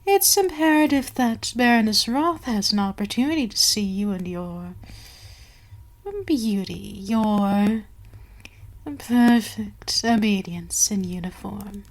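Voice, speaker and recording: A woman playing a commanding vampire queen in an ASMR audio roleplay, speaking in a measured, formal way with soft whispery lapses. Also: medium pitch. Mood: poised, commanding. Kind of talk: slow, breathy ASMR roleplay monologue.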